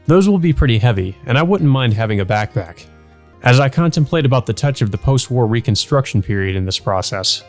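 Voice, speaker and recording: A man's voice: medium pitch, lively intonation, fairly quick, faint music behind. A man reading a visual novel aloud as a let's play host, casually and unpolished, dropping in his own asides.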